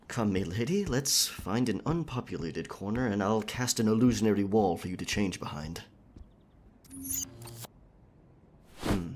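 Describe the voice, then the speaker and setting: Earnest, low-voiced gallant knight played by a man. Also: slight background noise. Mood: regretful. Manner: formal, chivalrous, wordy speech. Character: earnest, courteous royal knight from a futuristic realm. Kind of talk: scripted roleplay ASMR audio drama.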